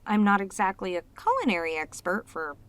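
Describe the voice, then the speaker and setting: A woman playing a sweet, clingy demoness in a romantic audio roleplay, speaking tenderly and haltingly with intense devotion. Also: medium pitch, huge pitch swings. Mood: tender, pleading. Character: sweet, devoted, clingy yandere demoness, long sealed away.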